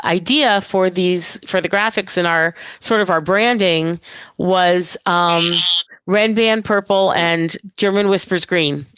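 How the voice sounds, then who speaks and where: A woman's voice: medium pitch, fairly bright tone, clear, resonant tone, no echo, highs cut off. Chatty, enthusiastic woman host. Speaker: livestream host. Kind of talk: casual livestream chat.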